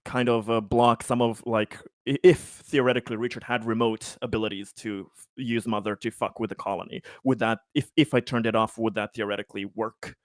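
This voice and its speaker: A man's voice: fairly low pitch, fairly even intonation, a little fast, hardly any pauses, no room sound, studio quality. A man playing a tabletop roleplaying game, chatting casually and stammering through repeats as he reasons out his moves aloud.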